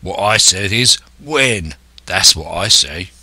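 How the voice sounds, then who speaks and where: A man voicing an impatient, grumbling pirate coxswain with a surly growl and rough seafaring dialect. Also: low-pitched, unhurried, bright, forceful tone, no echo, heavily acted.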